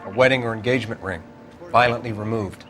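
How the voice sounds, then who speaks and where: A man's voice: lowish voice, music in the background, close to an everyday voice. Clinical medical examiner, acted by a man. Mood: matter-of-fact. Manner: clinical, measured. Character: matter-of-fact male medical examiner at a crime scene. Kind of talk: video game acting, crime drama.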